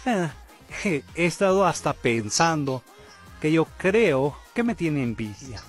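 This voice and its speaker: Scornful, vain older puppet brother played by a man, fandub drama, lively higher-pitched voice, taunting and exasperated. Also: slow. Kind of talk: amateur Spanish fandub roleplay acting. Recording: loud music under the voice.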